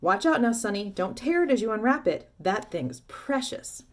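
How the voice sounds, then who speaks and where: A woman voicing a fat, jolly middle-aged male shopkeeper who exclaims loudly and gets carried away. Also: medium pitch, fairly quick, close to an everyday voice. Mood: overexcited. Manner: excitable, loud and exclaiming.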